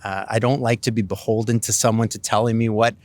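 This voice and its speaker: Canadian man, middle-aged candidate; loose, filler-heavy talk, deep-ish voice. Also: flat delivery, fast talker, a little mellow, no room sound. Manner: rambling, many fillers and restarts. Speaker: city council candidate. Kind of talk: casual interview answers.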